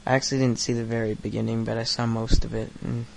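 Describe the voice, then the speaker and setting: A man's voice: medium pitch, little pitch movement, fast, creaky, slight background noise. A thirteen-year-old boy hosting his own podcast, rambling crudely with lots of fillers and swearing. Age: teens. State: recovering from hoarseness. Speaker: podcast host. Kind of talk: casual solo podcast rant.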